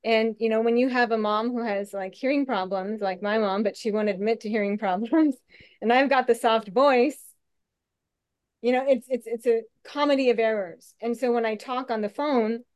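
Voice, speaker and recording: A woman speaking candidly on a video call, her voice soft and a little shaky, with frequent restarts. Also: on the high side, moderate pace, clean tone, no room sound. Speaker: webinar participant. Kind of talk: candid conversational sharing.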